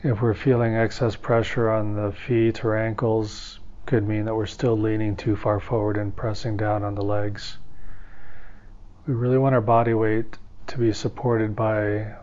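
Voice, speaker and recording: A man with a lowish voice guides a meditation in a very even, flat melody. He gives gentle, unhurried instructions and leaves long pauses between phrases. The recording sounds a little dull and muffled. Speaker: meditation teacher.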